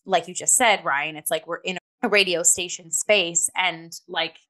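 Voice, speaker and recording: Female host, slightly low register, conversational review style with acted-out asides. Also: fairly even intonation, moderate pace, bright, forceful tone. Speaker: theatre review show host. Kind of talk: casual conversational theatre review.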